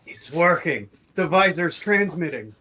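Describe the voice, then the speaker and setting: A man voicing a scheming, gleeful alien warrior villain with a harsh, gravelly voice and slow, exaggerated delivery in an amateur radio play. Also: a little high, animated, noisy background. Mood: gloating. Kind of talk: amateur radio theatre script read.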